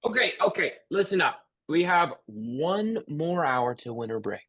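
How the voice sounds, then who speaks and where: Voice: medium pitch, big pitch swings, a little slow, fairly bright tone. An actor playing a cheerful, easygoing male schoolteacher at a table read, coaxing and upbeat as if to a class.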